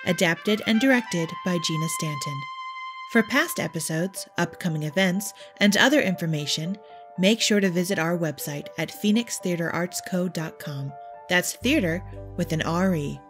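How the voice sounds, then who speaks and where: A woman's voice: on the low side, animated, moderate pace, fairly bright tone. A woman announcer reading a scripted introduction in a welcoming way. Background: music.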